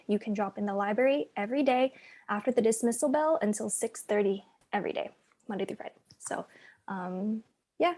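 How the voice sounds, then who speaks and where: A woman's voice: fairly high pitch, dark tone. A woman speaking as an after-school program coordinator, giving friendly information to students with frequent ums over a video call.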